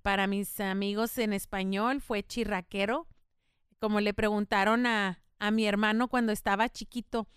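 A woman's voice: medium pitch, fairly even intonation, moderate pace, fairly bright tone, clean tone, dry recording, studio quality. A middle-aged woman from the United States, hosting a podcast in an earnest, explanatory monologue with a faith-tinged tone. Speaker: podcast host.

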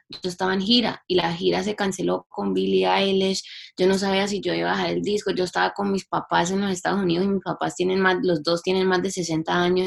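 A woman's voice: medium pitch, creaky. A young woman from Canada, a singer-songwriter guest, speaking in a reflective, chatty way and slipping in English words. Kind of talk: casual interview talk.

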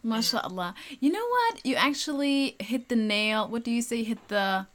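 Lively, excitable woman podcast host. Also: a little high. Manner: gushing, excitable, quick laughs. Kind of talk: casual interview chat.

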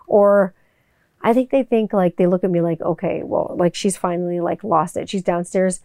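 Casting note: woman voiceover artist in a loose, rambling home monologue; smooth clear voice, dry close sound. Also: lowish voice, steady tone, normal speed, dark tone, dry recording. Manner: chatty, rambling, swears casually. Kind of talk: casual monologue to viewers. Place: home room with people upstairs.

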